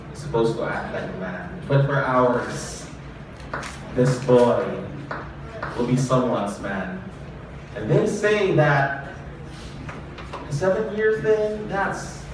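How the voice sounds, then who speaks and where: Slow, wry man poet in an echoey hall. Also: medium pitch. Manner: wry, anxious delivery with swearing and repeated refrains. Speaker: spoken word performer. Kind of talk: live spoken word poetry. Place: live performance venue. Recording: roomy echo, far from mic, ambient noise.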